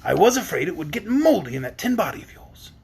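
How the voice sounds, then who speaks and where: A reader voicing a humble, genial little old male wizard who speaks softly, slowly and wryly. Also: mid-range voice, very animated, creaky, husky, heavily acted. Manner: soft, slow, wry.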